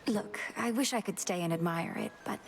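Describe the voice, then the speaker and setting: A woman's voice: fairly low pitch, fairly quick, soft background music, lightly acted. A woman playing a dutiful young witch princess in a video game, formal, polite and resolute. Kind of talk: scripted video game dialogue.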